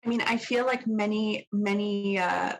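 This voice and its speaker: A woman's voice: medium pitch, steady tone, a little slow, slight background noise, poor audio. Young Canadian female architect, casual and chatty. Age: young adult. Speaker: guest, architect. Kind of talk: interview conversation.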